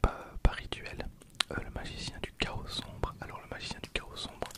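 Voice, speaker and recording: Whispering young man, ASMR style. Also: moderate pace. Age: 20s. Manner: hushed, softly murmured, informal. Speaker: ASMR video creator. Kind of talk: whispered ASMR, casual card-opening commentary.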